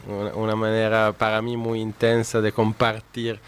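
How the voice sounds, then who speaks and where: A man from Italy speaking Spanish with an Italian accent as a guest historian on a radio interview, halting with many pauses and fillers. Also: on the low side, completely flat delivery, smooth.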